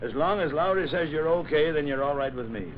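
A muffled, faintly noisy old radio drama recording of a man acting a gruff police sergeant. His voice sits fairly high for a man, and he talks in a bossy, colloquial way. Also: brisk, close to an everyday voice. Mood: conceding. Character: gruff suspicious male police sergeant questioning suspects.

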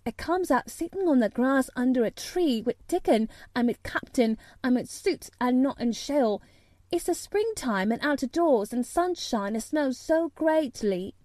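A woman's voice: high voice, animated, unhurried, darkish tone, no echo. A woman voicing an eager young girl who proudly tries out a broad Yorkshire dialect. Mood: triumphant.

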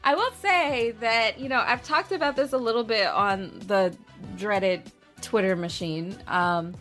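A woman's voice: mid-range voice, unhurried, fairly bright tone, faint music behind. A woman chatting casually on a podcast in a blunt, sweary, sardonic way.

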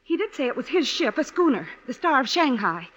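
A caring young heroine in a vintage radio mystery. Her high voice swings expressively with urgency and alarm. The old recording is muffled with some background noise. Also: sing-song, a little fast. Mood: urgent. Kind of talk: old-time radio drama acting.

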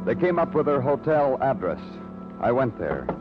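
An actor playing a weary, probing police detective who narrates his own cases in a measured, terse 1950s radio crime drama style. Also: medium pitch, very animated, lightly acted. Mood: brooding narration. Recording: muffled old radio broadcast with music.

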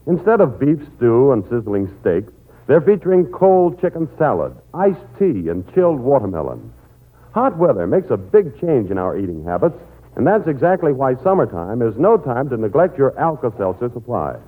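Lively American old-time radio pitchman. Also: normal speed. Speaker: radio announcer reading a sponsor message. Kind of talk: 1940s radio advertising. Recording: muffled old narrow-band radio recording.

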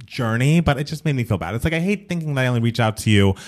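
A man chatting casually as a co-host, animated and quick to laugh. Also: mid-range voice. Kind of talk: casual chat.

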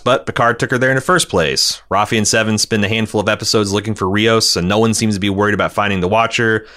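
A man with a fairly low voice hosts a casual podcast. He talks through television recaps and listener comments as an opinionated critic. His delivery is exasperated and salted with profanity. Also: bright, forceful tone. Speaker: podcast host. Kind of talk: casual TV recap discussion, reading listener feedback.

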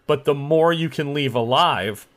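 A man's voice: fairly high pitch, a little slow, a bit bright. Man explaining as game master. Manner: explanatory, steering the players. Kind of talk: narrating a tabletop game.

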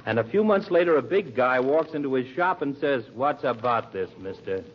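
An actor playing a gossiping American barber with a rambling, colloquial storytelling manner, in 1940s radio comedy style. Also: male voice, mid-range voice, expressive, normal speed, breathy edge. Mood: gossipy, warning. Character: chatty, gossiping small-town male barber. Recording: muffled, noisy old radio recording.

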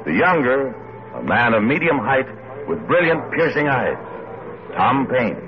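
A man's voice: medium pitch, very animated, unhurried. A man narrating a vintage radio drama in a solemn, declamatory, scripted announcer style. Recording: muffled vintage radio recording, music behind.

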